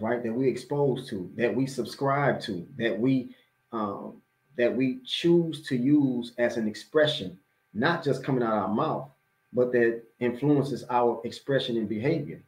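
A man's voice: mid-range voice, a little mellow. Echoey American man giving a motivational lecture. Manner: conversational, emphatic, repeats phrases for emphasis. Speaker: imam. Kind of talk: motivational religious lecture to camera. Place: small indoor room at home or office. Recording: roomy, echoey webcam audio.

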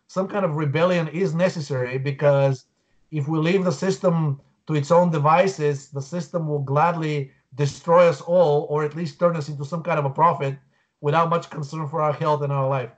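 A man in his forties from Bulgaria, a guest on a video call, explaining earnestly with frequent restarts. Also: fairly high pitch. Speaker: guest researcher. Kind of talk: interview over video call. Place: home room, indoors. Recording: dull lo-fi call audio.

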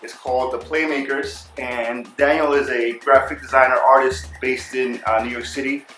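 A man's voice: mid-range voice, flat delivery, normal speed, pushed and bright, soft background music. A man hosting an interview show in a casual, conversational way. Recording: roomy, echoing room sound.

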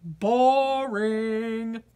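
A woman voicing a grumpy, unimpressed talking potato from a storybook, flat and dismissive in delivery. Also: fairly high pitch, extremely slow, soft background music. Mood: bored, dismissive.

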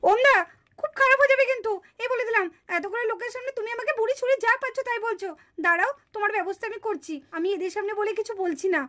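Very shrill, fast-talking village puppet female. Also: a bit bright. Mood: offended. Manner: emphatic, scolding, repeats words in protest. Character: high-pitched village women and girls in a puppet family drama. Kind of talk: dramatized Bengali puppet-show dialogue.

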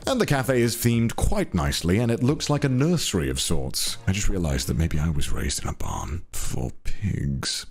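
A man's voice: deep voice, sing-song, moderate pace, vocal fry, few pauses, a little mellow, slightly rough. A young man reading a wry, scripted voice-over for a vlog. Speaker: video narrator.

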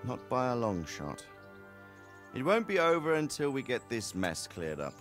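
A man voicing a fatherly medieval knight in a game cutscene, middle-aged and reflective. His voice moves with lively pitch while he speaks in a measured, warm-hearted way, with soft music faintly behind. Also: natural voice. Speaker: knight lord, father of the hero. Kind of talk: video game cutscene dialogue.

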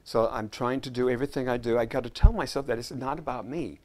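A man in his sixties, a scriptwriter chatting as a guest in a relaxed interview, mixing jokes with earnest stories. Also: medium pitch, fast talker. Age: 60s. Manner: joking, earnest storyteller. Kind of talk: casual interview chat. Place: living room set, indoors.

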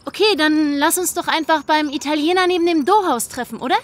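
Woman acting a high-voiced, uneasy young woman. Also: fairly quick, natural voice. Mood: friendly, light. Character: nervous young undercover woman, uneasy and evasive. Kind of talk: naturalistic audio drama acting.

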